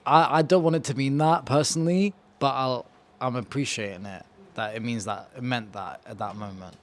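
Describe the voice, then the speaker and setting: A man in a group discussion, talking hesitantly with many pauses while giving his impressions of a poem. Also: mid-range voice. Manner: hesitant, many fillers, playful. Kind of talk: casual discussion. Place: community space.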